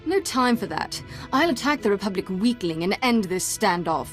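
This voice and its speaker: An actress playing an impatient, bloodthirsty Sith apprentice in a video game, with a cutting, defiant manner. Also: female voice, medium pitch, animated, moderate pace, soft background music, lightly acted. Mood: impatient, scornful.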